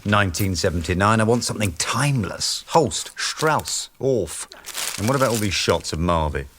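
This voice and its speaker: An actor in a radio drama playing a pompous, vain, snobbish research boss with a sardonic, biting delivery. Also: male voice, on the low side, lively intonation, moderate pace, noisy background, lightly acted. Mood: snobbish, impatient.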